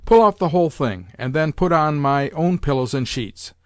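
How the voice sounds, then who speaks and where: A man's voice: fairly high pitch, lively intonation, moderate pace, dry recording, studio quality, natural voice. A man reading aloud, voicing an imperious old grandmother who scolds and gives orders bluntly.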